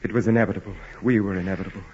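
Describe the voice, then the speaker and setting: Actor as a low-voiced brooding aristocrat. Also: male voice, fairly even intonation, rapid-fire, a little mellow, natural voice. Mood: intense, insistent. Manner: formal, grave, insistent. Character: wealthy aristocratic landowner, commanding, brooding, intense suitor. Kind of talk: 1940s radio acting. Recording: muffled, noisy old radio broadcast.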